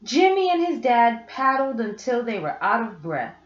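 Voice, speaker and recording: A woman reading a picture book aloud for children in an expressive storytelling style, as a teacher would. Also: on the high side, normal speed. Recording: roomy, slightly dull home recording.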